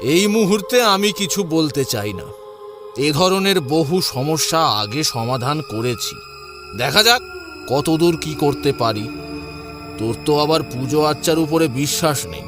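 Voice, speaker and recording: A man's voice: a little high, expressive, a little slow, pauses often, music in the background. A man playing a confident, teasing middle-aged priest in an audio drama. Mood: assured, solemn advice.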